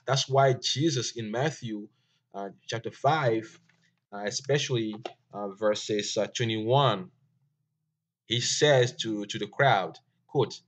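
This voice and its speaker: Slow, halting man teaching a language. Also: fairly low pitch. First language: Ewe. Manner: slow, halting, many fillers and restarts. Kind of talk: informal language lesson. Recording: dull lo-fi recording.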